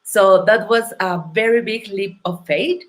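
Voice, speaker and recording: A woman from Mexico with a Mexican accent, a non-native English speaker, telling her story as an interview guest in an earnest, thoughtful and halting way. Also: medium pitch, steady tone. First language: Spanish. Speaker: guest entrepreneur.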